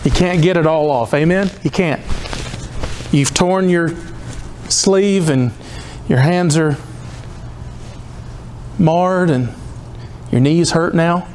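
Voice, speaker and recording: A man's voice: a little high, slow, pauses often, a little mellow, a little hiss or hum. Impassioned man in his fifties preaching. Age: 50s. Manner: impassioned, rhythmic repetition, pleading. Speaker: pastor. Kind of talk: sermon. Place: church hall in front of a cross.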